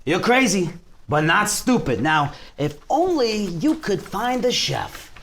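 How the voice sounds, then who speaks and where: Casting: man for a foul-mouthed, volatile mob boss in a TV drama, higher register, very animated, gives orders bluntly. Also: slow, full character voice. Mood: tense, commanding. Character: hot-tempered, foul-mouthed 1920s gangster boss. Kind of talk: table read of a TV script.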